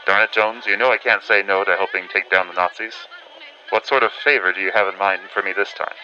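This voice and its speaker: An actor playing a wry, reluctant but dutiful 1940s radio correspondent in an audio drama, with old-fashioned announcer phrasing. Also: male voice, low-pitched, fairly even intonation, fairly quick, pushed and bright, slight background noise. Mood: incredulous, wry. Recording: dull band-limited audio with music behind.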